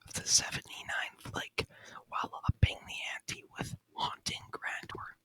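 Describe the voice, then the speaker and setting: A man whispering softly and breathily in an unhurried ASMR review style, close to the microphone. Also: low-quality recording. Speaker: ASMR video host.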